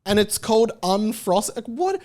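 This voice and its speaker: A man hosting his own podcast, chatting casually on his own in an animated, rambling way, often restarting sentences and sounding openly incredulous. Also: high-pitched, normal speed. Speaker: podcast host. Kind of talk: casual solo podcast chat.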